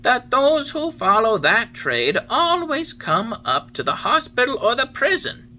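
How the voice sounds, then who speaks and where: A man voicing a wise old male talking cricket who lectures in solemn, drawn-out warnings. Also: extremely high pitch, huge pitch swings, pushed and bright, slight background noise, pitched above the performer's own voice, full character voice. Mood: scolding.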